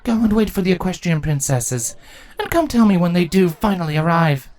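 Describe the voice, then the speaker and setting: A man's voice: high-pitched, big pitch swings, fairly quick, darkish tone, pitched above the performer's own voice. A man voicing a firm, formal and caring female changeling queen in a fantasy storybook. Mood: firm, composed.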